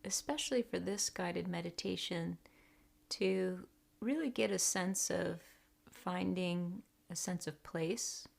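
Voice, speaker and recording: A woman leading a guided meditation in a deliberate, gentle, hushed manner with long pauses. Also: fairly low pitch, vocal fry. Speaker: meditation teacher.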